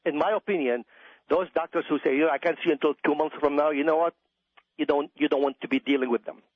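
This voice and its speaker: Husky Iraqi-accented man, higher-pitched voice. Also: steady tone, fast, dry recording. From Iraq. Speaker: doctor, interview guest. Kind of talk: conversational radio interview. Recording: dull, dry radio audio.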